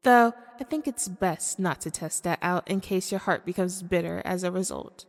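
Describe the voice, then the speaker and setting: A woman's voice: fairly low pitch, gravelly. Woman voicing a seductive demon in cavernous reverb. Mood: amused, taunting. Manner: formal, deliberate, coaxing. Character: seductive, manipulative female demon hungry for hearts. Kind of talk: scripted ASMR audio roleplay monologue.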